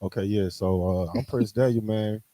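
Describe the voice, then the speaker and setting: A man's voice: on the low side, steady tone, normal speed, mellow, no room sound. A poet and podcast guest, a man who talks in a laid-back, drawling way. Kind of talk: casual chat.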